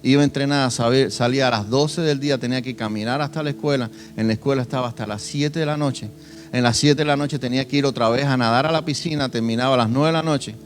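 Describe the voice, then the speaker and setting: A young man from Cuba, preaching a sermon and telling his own testimony, swinging between soft and loud emphasis. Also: mid-range voice, fast talker, soft background music. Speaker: pastor.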